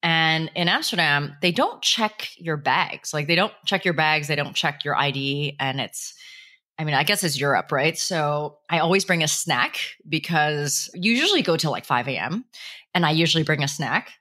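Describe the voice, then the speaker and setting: A woman hosting a podcast, chatty and quick to laugh. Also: low-pitched, lively intonation, pushed and bright. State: jet lagged. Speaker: podcast host. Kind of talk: casual chat.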